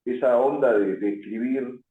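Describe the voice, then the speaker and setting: A man's voice: mid-range voice, normal speed. A man hosting a radio interview, asking long, winding questions. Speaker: host. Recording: tinny phone-like line in an echoey room.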